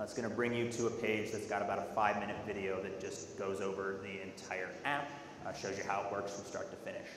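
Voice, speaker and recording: Male conference presenter, lower register, explanatory and halting, heavy hall reverb with faint noise. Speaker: software presenter. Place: large dark conference auditorium, at a podium.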